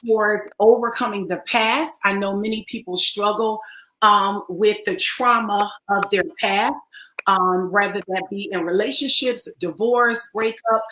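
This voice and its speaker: A woman with a raspy voice hosts a livestream talk show. She greets viewers by name and laughs often. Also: on the high side, pushed and bright, reverberant, low-quality recording. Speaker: online talk show host. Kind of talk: welcoming livestream chat.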